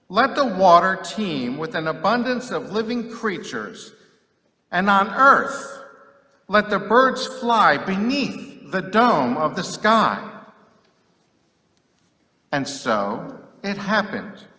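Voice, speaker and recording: A man reading scripture solemnly and dramatically, with deliberate, declamatory phrasing and long pauses. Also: sits high, very animated, bright, forceful tone. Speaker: scripture reader. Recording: echoey hall with long reverb.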